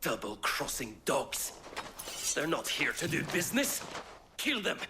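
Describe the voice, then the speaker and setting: Casting: enraged game henchman, highish voice, fierce commands, swinging wildly in pitch. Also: big pitch swings, a little slow, noisy background. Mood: furious. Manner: fierce, barking orders. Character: enraged, ruthless villain soldier. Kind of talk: video game voice acting.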